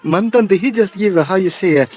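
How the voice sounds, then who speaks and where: An actor playing a deferential Venezuelan house servant with a Spanish accent, husky, polite and hesitant as he searches for words in an old-time radio drama. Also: sits high, a little fast, darkish tone. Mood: eager, admiring. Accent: Spanish-accented English.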